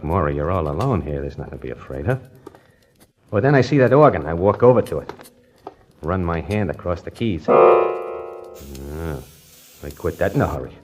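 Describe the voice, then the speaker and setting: An actor playing a brash, jumpy small-time hustler who narrates his own story in wry, colloquial 1940s radio suspense style. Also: low voice. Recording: vintage radio broadcast with music.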